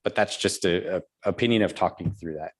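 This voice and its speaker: A man's voice: sits low, brisk. A man on city staff, explaining rules to the council and thinking aloud as he goes. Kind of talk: public meeting discussion.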